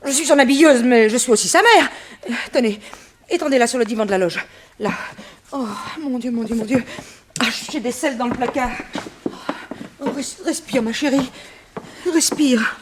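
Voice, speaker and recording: A woman's voice: on the high side, moderate pace, lightly acted. An actress playing an anxious, protective middle-aged mother who works as a theatre dresser, fretful and exclamatory in a radio drama. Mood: alarmed, protective. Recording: faintly noisy radio drama recording.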